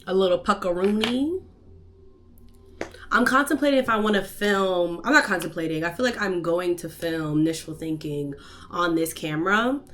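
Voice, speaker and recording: A woman's voice: on the low side, moderate pace, fairly bright tone, slight background noise. Stuffed-up young woman, chatty vlogger. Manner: chatty, slangy, playful asides to viewers. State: stuffed-up nose. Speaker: vlogger and podcast host. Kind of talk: casual day-in-the-life vlog chat. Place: apartment living room, indoors. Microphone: camera on a tripod.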